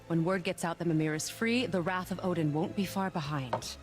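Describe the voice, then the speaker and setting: A woman's voice: on the low side, brisk, over music, natural voice. An actress playing a grave, proud witch of the woods, a firm and commanding goddess, in a fantasy video game. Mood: cold, proud. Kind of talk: cinematic game voice acting.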